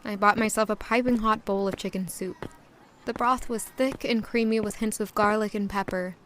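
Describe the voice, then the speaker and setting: An actress playing a proud, wistful young castle maid, narrating reflectively in the first person for an audio drama. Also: female voice, mid-range voice, fairly even intonation, normal speed, fairly bright tone, a little hiss or hum, natural voice. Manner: reflective, descriptive.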